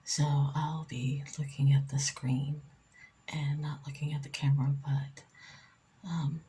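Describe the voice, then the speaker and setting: A soft-spoken woman reading poetry in ASMR style, often whispering, with slow, deliberate phrasing and pauses between short phrases. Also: deep voice, flat delivery, a little hiss or hum. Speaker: poet reading own work.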